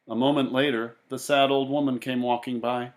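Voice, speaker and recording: A man reading a children's story aloud in a theatrical, expressive way. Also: medium pitch, moderate pace. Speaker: storybook reader. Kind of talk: animated children's story narration.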